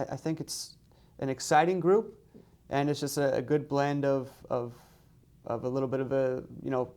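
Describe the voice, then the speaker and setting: Male coach, interview answers. Smooth clear voice, fairly flat pitch, hesitant word-by-word phrasing. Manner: halting, hesitant phrasing with repeated words. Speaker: head soccer coach. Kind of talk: media day interview answers.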